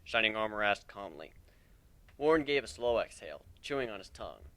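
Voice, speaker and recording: A man's voice: fairly low pitch, sing-song, brisk, sharp tone. A man narrating a piece of fan fiction as a scripted dramatic reading.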